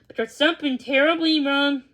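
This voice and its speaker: A woman's voice: sits high, fairly even intonation, normal speed, a bit bright. A woman voicing a kindly, fretful Mrs. Claus in a storybook read-along, speaking earnestly.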